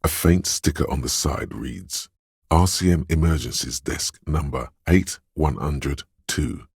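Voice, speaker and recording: A middle-aged man voicing a disembodied male inner sense of sight in a video game, calm and watchful, describing things in a measured, matter-of-fact way. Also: very deep voice, slightly rough, no room sound, studio quality, full character voice. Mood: observant. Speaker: voice actor. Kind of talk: scripted video game voice line.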